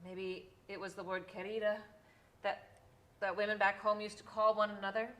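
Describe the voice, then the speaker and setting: A woman's voice: medium pitch, level tone, moderate pace, fairly bright tone, muffled recording, natural voice. A woman playing a weary, homesick immigrant mother who confides tenderly in her son, as dramatic stage storytelling. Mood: reminiscing.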